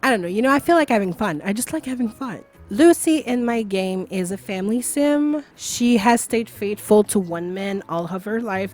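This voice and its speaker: A woman's voice: medium pitch, a little mellow. A woman chatting casually and rambling over gameplay, with lots of filler words and small laughs, while losing her voice. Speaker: video creator.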